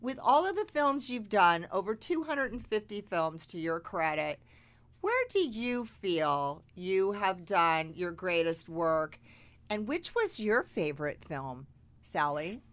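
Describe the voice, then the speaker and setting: A woman hosting a talk podcast, reading guest introductions with emphatic, slowed stresses and asking friendly questions. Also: lowish voice, sing-song, a bit bright. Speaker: podcast host. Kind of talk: hosted talk show interview.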